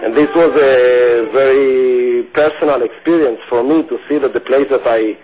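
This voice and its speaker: A man, answering radio interview questions in a thoughtful, halting way. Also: medium pitch, steady tone, a little mellow. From Israel. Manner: thoughtful, halting, searching for words. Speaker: guest. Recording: dull lo-fi radio sound.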